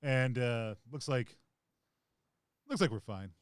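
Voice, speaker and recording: A man's voice: medium pitch, lively intonation, takes it slowly, clean tone, no echo, studio quality. A man playing a tabletop game, talking casually and hesitantly with many fillers and jokes. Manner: hesitant, jokey, full of fillers. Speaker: player.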